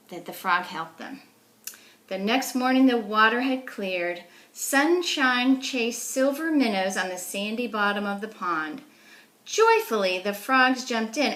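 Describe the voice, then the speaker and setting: A woman speaks slowly in a roomy, echoing space, in the style of a teacher at children's story time. She explains things in simple words and puts little questions to her young listeners as she reads. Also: a little high, fairly bright tone. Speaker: children's teacher reading stories. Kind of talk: animated storybook read-aloud for young children.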